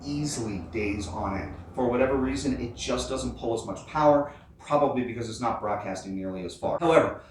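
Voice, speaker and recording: A man's voice: medium pitch, fairly quick, hardly any pauses, slight background noise. A middle-aged man giving a casual explainer as host of an off-road how-to channel. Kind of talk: casual explainer talk to camera. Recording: very echoey, distant from the mic.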